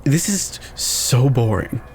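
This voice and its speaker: A man's voice: medium pitch, sing-song, slow pace, a little mellow, a little hiss or hum. A man talking casually as a player in a tabletop roleplaying game.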